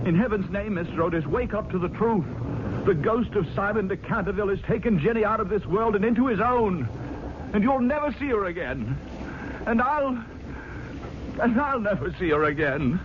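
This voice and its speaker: Young aristocratic suitor: ardent, grave, melodramatic radio delivery with big pitch swings, thin noisy recording. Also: male voice, expressive, moderate pace, highs cut off. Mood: grave, urgent. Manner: earnest, melodramatic. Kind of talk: old-time radio drama acting.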